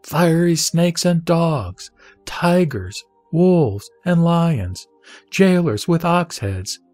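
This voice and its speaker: A man reciting a religious text as solemn, dramatic scripted narration, with measured, deliberate and emphatic phrasing. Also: a little high, very animated, darkish tone, no echo. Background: background music.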